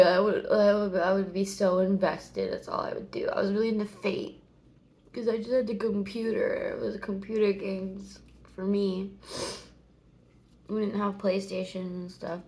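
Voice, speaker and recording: A woman's voice: mid-range voice, darkish tone. A woman in her thirties chatting casually to the camera, rambling and meandering, swearing now and then. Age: 30s. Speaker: vlogger.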